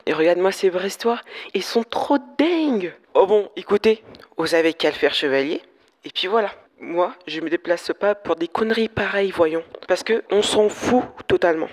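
A municipal police officer who cannot be bothered, acted by a woman in a radio play. The voice sits at a medium pitch, with dismissive, slangy, scornful delivery. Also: close to an everyday voice. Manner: colloquial, dismissive. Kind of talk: audio drama acting.

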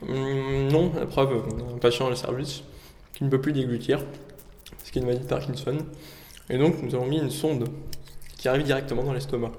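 A reverberant room surrounds a young man with an average-pitched voice. He explains things informally as a medical intern would in an interview, thinking aloud and hesitating as he goes. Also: fairly even intonation, fairly quick, a little mellow. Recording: echoey room with long reverb.

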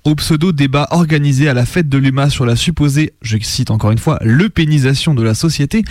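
A man's voice: mid-range voice, brisk, a little mellow, no echo, clean studio sound. A man with a French accent from France reading scripted radio editorials as a host, with a sardonic, engaged delivery. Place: radio studio.

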